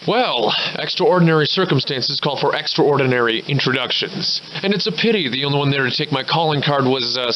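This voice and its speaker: A man's voice: medium pitch, slight background noise, natural voice. A man voicing a surly, hard-drinking, grandiloquent survivor in a video game, with florid old-fashioned diction, in slightly muffled audio. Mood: formal, wry. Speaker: video game protagonist voice actor. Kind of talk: theatrical video game character dialogue.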